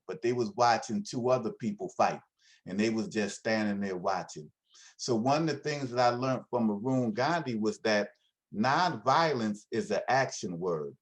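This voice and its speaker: A man explaining ideas about nonviolence in an earnest, conversational way, speeding up when he presses a point. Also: fairly low pitch. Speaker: nonviolence trainer. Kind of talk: explanatory talk.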